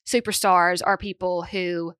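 A woman's voice: fairly low pitch, level tone, measured pace, a bit bright, clean tone, no room sound, studio quality. A young woman hosting a podcast, asking long, rambling questions with eager enthusiasm. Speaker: podcast host. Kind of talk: casual interview chat.

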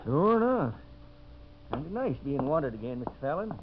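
An actor playing an honest, plainspoken gold prospector with a folksy manner, in 1950s radio western style. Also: male voice, fairly high pitch, very animated, moderate pace. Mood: friendly, eager. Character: honest, plainspoken male gold prospector, loyal frontier sidekick. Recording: dull, hissy 1950s radio broadcast recording.

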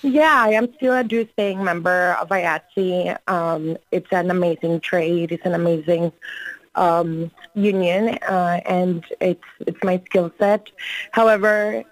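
A woman's voice: lowish voice, no room sound, rough recording. Earnest Colombian-born woman organizer. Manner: earnest, steady, with fillers. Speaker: guest, labor organizer. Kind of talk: interview answers.

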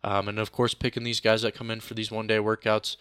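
A man hosting a sports podcast, wrapping up the episode in a steady, informal way. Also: on the low side, fast, smooth, no echo. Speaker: podcast host. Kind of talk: solo show wrap-up.

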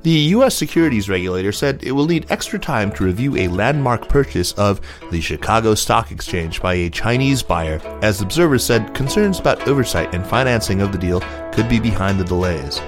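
A man's voice: lowish voice, lively intonation, a little fast, hardly any pauses, faint music behind. A man hosting a news podcast, reading business stories and introducing guests in a presenter's style. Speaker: podcast host and news reader.

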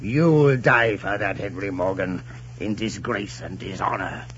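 An actor playing an imperious, scheming colonial governor, pompous and stammering, in 1940s radio drama style. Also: male voice, deep voice, measured pace. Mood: vindictive. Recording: muffled 1940s radio recording.

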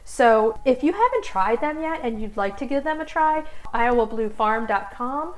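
Give this voice to a woman with a fairly high pitch. She co-hosts a podcast in a casual, chatty style, with a playful and exclamatory manner. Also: animated, moderate pace. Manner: exclamatory, playful, sings out little jingles. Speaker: podcast co-host. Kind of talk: casual chat.

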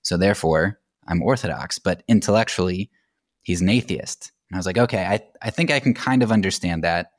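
A man's voice: low-pitched, a little fast, no room sound, clean studio sound. An American man speaking as a podcast guest, explaining things in a casual, conversational and anecdotal way. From United States.

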